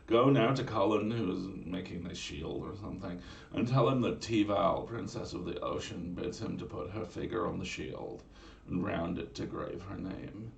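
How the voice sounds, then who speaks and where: A man's voice: low-pitched, moderate pace, gravelly, hardly any pauses. A man voicing a young mermaid princess in a whiny valley girl manner, in a storybook reading. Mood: commanding. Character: young woman, a proud sea princess and mermaid. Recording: roomy room, distant mic.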